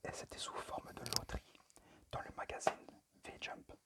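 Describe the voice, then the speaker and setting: A man speaks in a breathy whisper, with almost no voice behind the words. His ASMR presentation is amateur and tentative, halting often as he goes. The manner is apologetic and unpolished. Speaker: amateur ASMR video creator.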